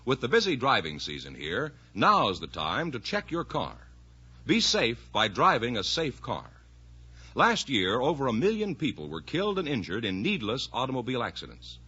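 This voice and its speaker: A male announcer in 1950s radio style, reading out sponsor copy and introductions. He sounds bright and forceful with a husky edge and lively intonation. It is a muffled old recording. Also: medium pitch, moderate pace.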